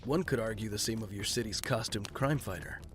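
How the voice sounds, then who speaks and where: A man playing a wry, guarded rich gentleman in an audio drama, speaking in a dry, understated way. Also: fairly low pitch, a little fast, slight background noise, lightly acted. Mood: cool.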